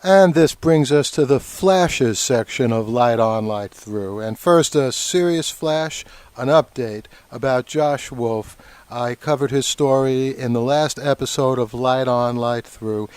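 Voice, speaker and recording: A man's voice: medium pitch, measured pace, darkish tone, clean tone, dry recording. A man speaking as a podcast host, chatty and emphatic. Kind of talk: casual interview and scripted podcast segments.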